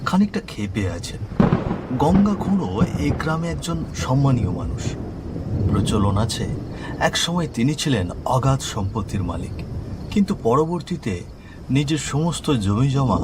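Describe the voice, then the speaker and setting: A man narrating a scripted horror cartoon story as a storyteller. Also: medium pitch. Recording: rain and thunder noise behind.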